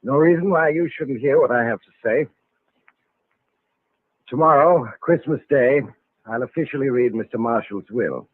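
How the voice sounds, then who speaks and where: An actor playing a solemn, suspicious estate lawyer with a formal, stiffly courteous manner in an old radio drama. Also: male voice, medium pitch, moderate pace, pauses often, dry recording, natural voice. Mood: solemn, probing. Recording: vintage radio recording, narrow phone-like band.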